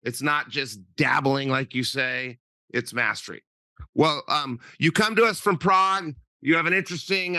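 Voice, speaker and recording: Man, american podcast host, upbeat, joking, bright forceful voice, dry close mic. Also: a little high, dry recording. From United States. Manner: upbeat, joking, talks in bursts. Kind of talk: podcast interview.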